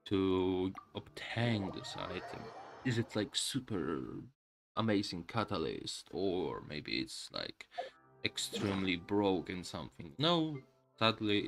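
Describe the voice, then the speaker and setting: A man doing casual live game commentary as a streamer, musing aloud to viewers in a halting way, with game music behind him. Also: deep voice, pushed and bright.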